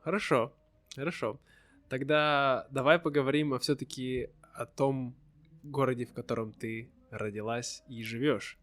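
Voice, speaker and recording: Man hosting a slow interview. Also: mid-range voice, steady tone, fairly bright tone. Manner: friendly, asks simple follow-up questions. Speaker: host. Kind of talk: slow conversational interview for learners.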